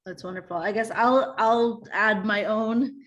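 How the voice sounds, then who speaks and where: A woman's voice: mid-range voice, a little slow, highs cut off. Brazilian-born woman moderating online panel. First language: Portuguese. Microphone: video call.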